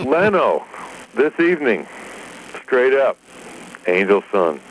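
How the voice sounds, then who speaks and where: A man's voice: medium pitch, very animated, slow pace, a little hiss or hum, low-quality recording. A man record promoter leaving chummy, rambling voicemails and laughing at his own jokes. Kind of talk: voicemail message.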